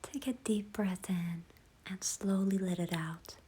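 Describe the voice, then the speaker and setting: A woman leading a guided visualization in a soft, hushed, breathy voice, gentle, unhurried and soothing. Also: mid-range voice, fairly even intonation. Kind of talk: slow guided meditation narration.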